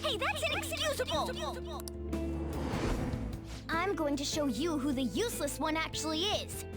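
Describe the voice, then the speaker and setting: A woman voicing a proud little talking cat, high and bouncy. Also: very animated, a little slow, exaggerated voice. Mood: indignant. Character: brash proud talking cat, small male sidekick. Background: game music.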